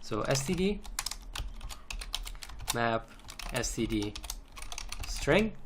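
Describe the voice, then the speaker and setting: A man's voice: medium pitch, a bit bright. A man narrating an unscripted, step-by-step screencast tutorial in a methodical way, repeating phrases as he goes. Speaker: programming tutorial instructor. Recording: noisy home recording, typing and clicks. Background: keyboard typing, mouse clicks, background noise.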